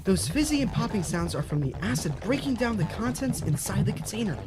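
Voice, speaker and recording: A woman's voice: medium pitch, very animated, brisk, music in the background, close to an everyday voice. A woman playing a cheerful, bossy children's-show host in an audio drama, giving instructions in an upbeat, reassuring way. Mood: instructive. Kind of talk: audio drama, parody of educational kids' show.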